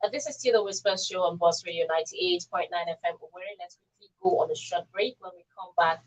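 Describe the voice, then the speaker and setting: A woman's voice: fairly low pitch, fast talker, far from the mic, poor audio. A woman with a Nigerian accent hosting a live talk radio show.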